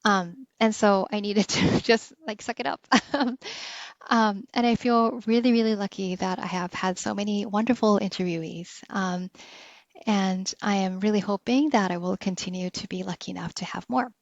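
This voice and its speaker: A woman of middle pitch hosts her podcast in a casual, reflective monologue. She talks earnestly, pausing on "um"s and slipping in small laughs. The recording is close, dry and a little dull, with the treble rolled off. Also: fairly even intonation, dry recording. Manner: earnest, with frequent "um"s and soft laughs. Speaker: podcast host.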